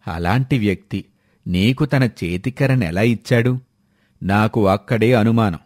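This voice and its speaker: A man playing a steady, shrewd village headman with a measured, authoritative manner in a moral story. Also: on the low side, no echo, clean studio sound. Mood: firm, accusing. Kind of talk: acted dialogue in a moral story.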